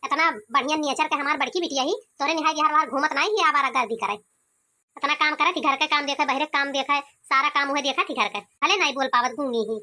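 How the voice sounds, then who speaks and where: A woman's voice: high voice, fast, pushed and bright. A woman voicing a protective, anguished village mother in an Awadhi cartoon, with an Awadhi accent and a plaintive, scolding manner. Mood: indignant, protective. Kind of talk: cartoon comedy voice acting.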